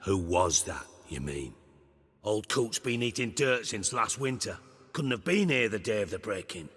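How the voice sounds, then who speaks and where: A man's voice: on the low side, very animated, normal speed, a bit bright, husky, big-room sound, heavily acted. An actor voicing a sardonic, bossy, middle-aged male spymaster in a video game, sarcastic, imperious and crude.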